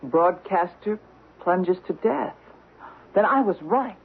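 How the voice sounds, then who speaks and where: An actress playing an insistent, excitable young American newlywed wife in a radio drama, speaking emphatically. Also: female voice, fairly low pitch, slow. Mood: curious, alarmed. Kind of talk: old-time radio drama acting. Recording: tinny, band-limited vintage radio, hissy.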